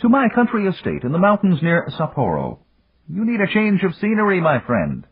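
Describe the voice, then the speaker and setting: A man doing a round, unhurried old business magnate in a storybook reading, his voice lifted well above the reader's own and sweeping through courteous, lecturing phrases. The tone stays husky, and the sound is thin and phone-like. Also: high-pitched, huge pitch swings, voice pushed up, full character voice. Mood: measured, amiable. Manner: measured, courteous, gently lecturing. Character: older Japanese male industrialist, round, calm, philosophical. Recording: tinny, phone-like lo-fi.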